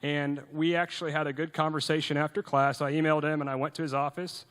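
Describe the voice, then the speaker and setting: A young man from Norway, addressing a crowd with earnest conviction and fairly high pitch. He stumbles over restarts and throws in rhetorical questions. His voice rings with the long reverb of a large hall. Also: little pitch movement, fast talker, a bit bright. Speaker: event speaker. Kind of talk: rousing speech to a youth audience.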